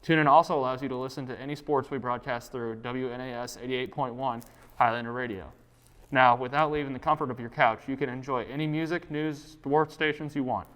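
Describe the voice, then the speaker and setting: A teenage boy reads a scripted sports segment for a school TV show. He moves quickly through the copy in an even, mid-pitched voice. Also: rough recording. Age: teens. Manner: brisk, read from script. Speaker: student sports anchor. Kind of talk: scripted news reading. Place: school TV studio.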